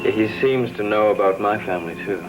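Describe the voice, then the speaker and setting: Earnest, low-voiced man acted in gothic drama. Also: brisk, highs cut off, music in the background, lightly acted. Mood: concerned. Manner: earnest, serious. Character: earnest, guarded man of an old family. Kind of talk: gothic TV soap opera acting.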